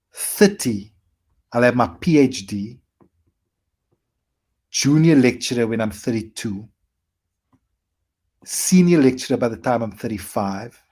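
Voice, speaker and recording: A South African man in his forties, a comedian telling his story in a casual interview and acting out his past self in quick asides. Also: mid-range voice, a little mellow. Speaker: comedian guest.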